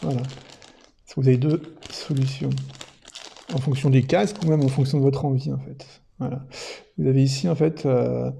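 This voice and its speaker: A man's voice: medium pitch, fairly even intonation, moderate pace, vocal fry, warm tone. A man presenting a product unboxing in casual, unscripted commentary, thinking aloud somewhat hesitantly.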